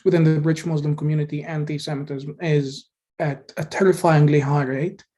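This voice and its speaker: Higher-pitched man, deliberate speaker. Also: flat delivery, warm tone. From Egypt. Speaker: community deputy speaking in a debate. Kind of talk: speech to an online meeting.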